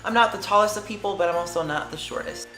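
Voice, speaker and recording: A woman in her late teens chatting casually as a vlogger, lively and slangy, jumping between tangents. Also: medium pitch, fast talker, roomy sound, faint music behind, low-quality recording. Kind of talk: casual chat answering tag questions.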